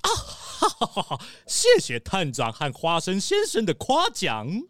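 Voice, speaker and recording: A woman's voice: lowish voice, wildly swooping pitch, unhurried, dry recording, studio quality. A woman playing an eager, theatrical gibbon gardener in a children's audio drama. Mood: pleased.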